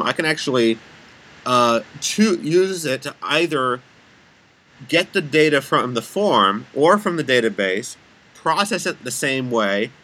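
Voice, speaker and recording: Bright-voiced man rambling about code. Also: medium pitch, poor audio. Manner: rambling, self-deprecating, laughs often. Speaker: podcast co-host. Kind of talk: casual tech chat.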